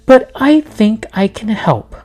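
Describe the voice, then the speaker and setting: A kindly, plump old woman of a children's storybook, acted out by a man. The voice is raised high and swoops in a lively way. The manner is bright, gentle and homespun. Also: slow pace, soft background music, heavily acted. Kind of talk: storybook read-aloud character voice.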